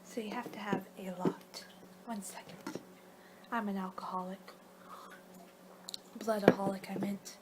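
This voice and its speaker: A woman's voice: mid-range voice, level tone, measured pace, long pauses. Rambling woman doing whispery ASMR roleplay. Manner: rambling, playful, often losing her train of thought. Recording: clear background noise.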